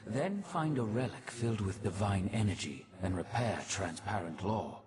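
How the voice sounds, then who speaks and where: An actor playing a solemn, learned male mage advisor in a video game, speaking in a formal, measured, instructive way. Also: on the low side, expressive, a crowd in the background, lightly acted. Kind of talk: video game character dialogue.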